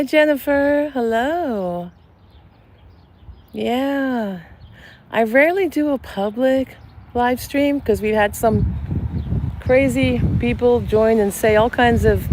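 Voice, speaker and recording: A woman with a soft, soothing voice talks slowly and gently as she walks outdoors. Her phone picks up clear outdoor noise around her, with birds and passing cars. She chats casually with her live viewers. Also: fairly high pitch. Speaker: psychic medium and channel host. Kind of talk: casual live-stream chat while walking. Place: outdoors in a cemetery among cherry trees. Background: birds and passing traffic.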